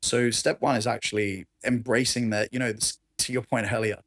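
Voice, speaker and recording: A man with an Australian accent and a raspy voice, talking enthusiastically as a podcast guest. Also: mid-range voice, flat delivery, normal speed, fairly bright tone, dry recording. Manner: enthusiastic, conversational, laughs often. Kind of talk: casual chat.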